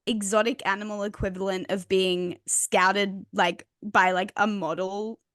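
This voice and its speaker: A woman's voice: medium pitch, little pitch movement, unhurried, a bit bright, no echo. Chatty woman full of "like"s. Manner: rambling, lots of "like" and laughing. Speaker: podcast co-host. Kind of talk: casual podcast chat.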